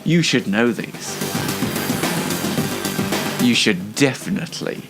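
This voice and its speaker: A man presents a variety show over music, with a chatty and mock-dramatic delivery. His pitch swings widely, and he takes his time, drawing things out for effect. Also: lively intonation, slow. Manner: playfully theatrical, mock-dramatic. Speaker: host of an online variety show. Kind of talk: chatty scripted-style presenting with ad-libs.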